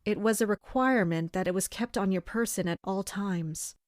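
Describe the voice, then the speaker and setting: A woman playing a dutiful, serious captain of an elite armored guard in an audio drama, speaking in a measured way. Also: medium pitch, no room sound, studio quality, lightly acted. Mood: uncertain, reflective. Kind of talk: audio drama acting with first-person narration.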